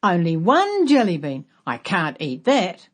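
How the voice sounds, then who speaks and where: A woman's voice: on the low side, wildly swooping pitch, a little slow, slightly rough, full character voice. A woman voicing a jolly, exclamatory old grandpa in a children's storybook. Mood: excited. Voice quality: thundery when singing. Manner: exclamatory, gleeful. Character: jolly excitable old grandpa with a jiggly tummy.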